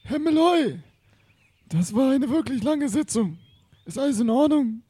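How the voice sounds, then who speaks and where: A man playing a rambling, servile English butler with a husky voice in an improvised comic audio drama. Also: very high-pitched, expressive, unhurried, dark tone, dry recording, heavily acted. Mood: chatty. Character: eager, rambling English butler of an art collector.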